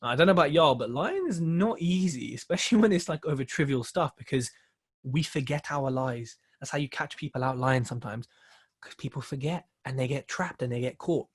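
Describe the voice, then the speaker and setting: A man's voice: mid-range voice, animated, a little fast, slightly rough. A man hosting a podcast, chatting casually with plenty of fillers and restarts as he steers the conversation. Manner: rambling, filler-heavy, asks questions and runs the show. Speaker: podcast co-host.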